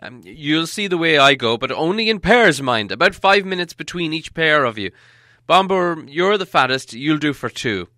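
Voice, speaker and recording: An old storybook wizard acted by a male reader speaks in a raised, clear voice. He is fussy and polite, explaining things with hesitant stammers. The sound is crisp and dry. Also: on the high side, animated, normal speed, bright, forceful tone, no room sound, pitched above the performer's own voice. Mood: brisk, instructing.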